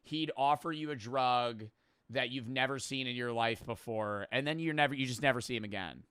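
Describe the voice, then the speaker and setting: A man reacting to a video with excitable, joking commentary, swearing as he riffs. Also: medium pitch, moderate pace, clean tone, dry recording. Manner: excitable, swearing, riffing jokes.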